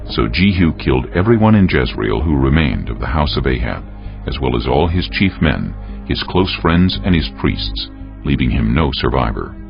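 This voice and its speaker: A man giving solemn, measured, scripted dramatic narration of scripture as a storyteller. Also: a bass voice, big pitch swings, creaky. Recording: dull audio with music underneath.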